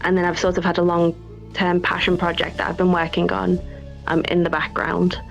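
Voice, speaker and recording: A woman's voice: on the low side, monotone, moderate pace, creaky. A woman chatting casually as a guest developer, hesitant and rambling in a modest way. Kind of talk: casual interview chat. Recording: slightly dull online call, music behind.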